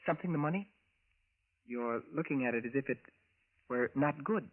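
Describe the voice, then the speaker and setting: A man's voice: mid-range voice, normal speed, natural voice. An actor playing a polite, secretive male visitor from the future, speaking carefully and formally in 1940s radio style. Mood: careful, evasive. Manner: careful, formal, precise, few contractions. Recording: narrow, muffled vintage radio recording.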